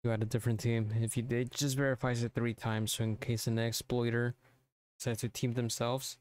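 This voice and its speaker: A man narrating a software tutorial informally, thinking aloud step by step into a close mic. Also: medium pitch, level tone, a little fast, smooth, no echo. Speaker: tutorial presenter.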